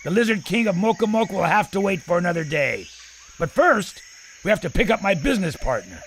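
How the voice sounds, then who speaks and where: An actor playing a cocky adventurer filmmaker and pilot in a pulp audio drama, theatrical, with old-time slang. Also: male voice, high voice, big pitch swings, a little fast, a bit bright, slight background noise, full character voice. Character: brash adventurer filmmaker and war veteran pilot.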